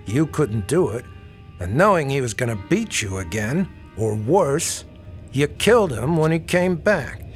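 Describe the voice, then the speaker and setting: A man's voice: medium pitch, big pitch swings, measured pace. Middle-aged male detective: wry, probing, folksy, very varied intonation, radio drama with music underneath. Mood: accusing. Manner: folksy, probing, casually insinuating.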